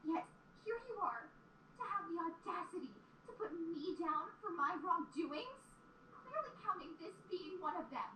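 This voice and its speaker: A woman's voice: extremely high pitch, animated, unhurried, bright, forceful tone, full character voice. A woman voicing an indignant young female pony in an audio drama, emphatic and scolding. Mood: indignant, hurt. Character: upset, indignant young female pony standing up to her brother. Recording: distant, roomy speaker playback with faint noise.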